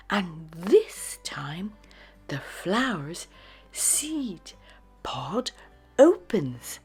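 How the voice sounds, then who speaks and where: A woman narrating a children's storybook in a dramatic, expressive read-aloud style. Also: mid-range voice, breathy edge. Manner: dramatic, with hushed phrases and sudden exclamations.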